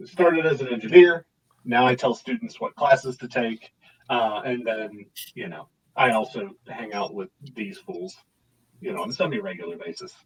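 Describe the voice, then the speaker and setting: A man's voice: medium pitch, moderate pace, breathy edge. A man introducing himself casually, picked up at a distance from the microphone. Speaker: academic adviser, panel member.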